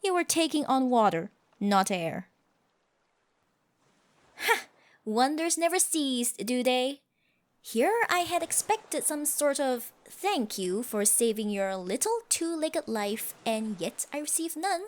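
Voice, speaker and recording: A woman's voice: sits high, animated, takes it slowly. A woman voicing a proud, scoffing tsundere siren with stiffly formal phrasing in an ASMR roleplay. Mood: scornful, haughty. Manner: haughty, scoffing, flustered stammers, archaic formal phrasing. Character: haughty tsundere siren, proud, secretly smitten sea creature.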